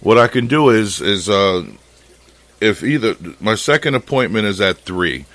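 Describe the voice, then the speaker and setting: A man's voice: lowish voice, animated, a little slow, fairly bright tone, dry recording. An American man talking casually on a phone call, explaining things in an informal, rambling way. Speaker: fish shop owner and podcast host.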